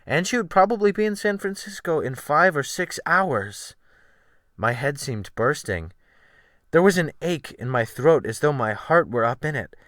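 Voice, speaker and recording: A man giving a theatrical, expressive first-person dramatic reading of a literary sea tale as an audiobook narrator. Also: a little high, normal speed, no echo, studio quality. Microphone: USB desktop microphone.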